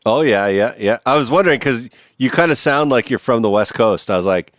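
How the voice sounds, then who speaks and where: A man's voice: medium pitch, lively intonation, a little fast, a bit bright, no room sound, clean studio sound. A man, hosting a podcast in an easygoing, swearing, casual way. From Vancouver, Canada. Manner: easygoing, swears, laughs often. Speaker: podcast host. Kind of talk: casual interview chat.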